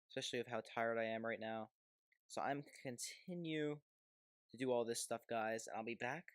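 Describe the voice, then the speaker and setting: A man's voice: medium pitch, no echo, studio quality. A man giving casual, informal commentary on a game video as its host, rambling a little as he goes.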